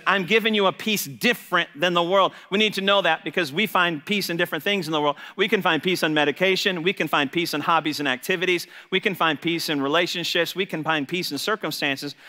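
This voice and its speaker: American pastor preaching, bright high-pitched voice. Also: male voice. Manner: emphatic, repeats phrases for emphasis. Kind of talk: sermon. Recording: reverberant hall sound.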